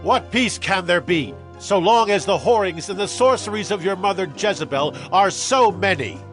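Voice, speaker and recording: Fierce, commanding military usurper male actor, slightly high register, declamatory scripture drama, soft score underneath. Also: expressive, normal speed, faint music behind. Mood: scornful. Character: fierce male army commander seizing a kingdom.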